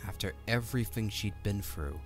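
A man's voice: fairly low pitch, moderate pace. A man narrating a scripted fantasy audio drama as its storyteller. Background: music.

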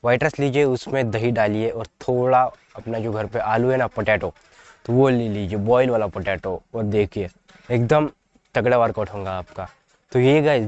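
A man's voice: mid-range voice, darkish tone, highs cut off. Chatty young man giving fitness tips. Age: 20s. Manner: chatty, repetitive, addresses viewers as guys. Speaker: fitness vlogger. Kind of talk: casual direct-to-camera advice. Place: outdoors in front of trees. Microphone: lapel mic.

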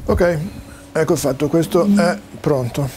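Casting: man, 60s, soft-toned hesitant technical presenter, informal home demo, audible background noise. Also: a little high. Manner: hesitant, halting, explanatory. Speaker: presenter demonstrating home-built audio and video gear. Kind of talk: informal technical explanation to camera. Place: small cluttered home study, indoors.

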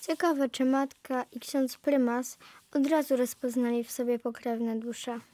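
A woman's voice: sits high, flat delivery, a little slow, dark tone. A woman speaking scripted lines in a radio play.

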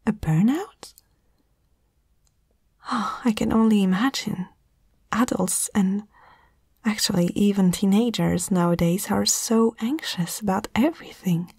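Woman, ASMR roleplay, mostly whispered, extremely breathy, tender and reassuring, dry close-mic sound. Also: medium pitch, takes it slowly, long pauses, a little mellow, dry recording. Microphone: close microphone.

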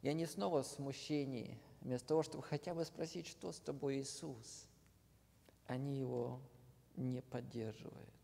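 A man preaching a sermon, slow and earnest, often dropping to a hushed near-whisper at the ends of phrases. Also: mid-range voice, steady tone, creaky. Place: church hall. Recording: long hall reverb.

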